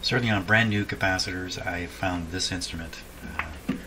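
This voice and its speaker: A man with a deep voice talks through hands-on bench work as if thinking aloud. He is casual and unhurried, musing with a dry sense of humor. The recording has clear background noise, in an indoor home workshop. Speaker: host of a radio repair video.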